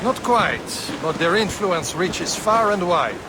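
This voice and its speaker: A haughty in a video game. The voice swings widely with scornful flair, over clear harbour noise. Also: male voice, high-pitched, slow pace. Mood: assured. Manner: mocking, animated. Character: haughty, scornful French male Assassin seafarer. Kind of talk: video game dialogue acting. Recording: noisy outdoor harbour ambience behind.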